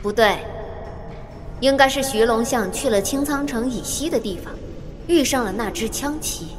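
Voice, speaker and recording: An actress playing a composed seer reporting omens at a royal court, formal and measured, in an audio drama. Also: female voice, fairly high pitch, natural voice. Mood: composed, certain. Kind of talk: Chinese audio drama acting. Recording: long reverb, music behind.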